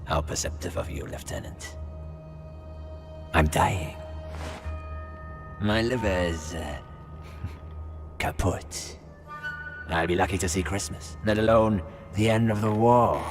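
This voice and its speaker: Fatalistic prisoner, low voice, smug, sardonic, halting, theatrical game acting, music underneath. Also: male voice. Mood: wry, bitter. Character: smug, fatalistic, dying male enemy captive. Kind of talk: dramatic video game acting.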